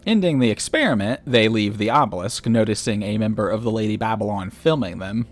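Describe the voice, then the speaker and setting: Casting: male video essayist with a lowish voice, recap and commentary style. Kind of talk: explainer commentary recapping a horror series.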